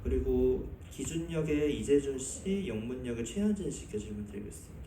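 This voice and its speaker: Polite reporter, mellow voice in an echoey hall. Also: male voice, mid-range voice, animated, normal speed. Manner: polite, methodical, numbered questions. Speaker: reporter asking questions. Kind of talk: press conference question. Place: press conference hall. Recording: echoey hall from far off, faint noise.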